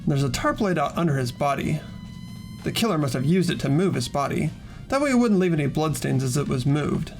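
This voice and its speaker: A man voicing an earnest, easily flustered teenage boy in an amateur visual novel dub, both narrating and speaking with a hesitant, expressive delivery. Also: on the high side, brisk, gravelly, soft background music. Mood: narrating, uneasy.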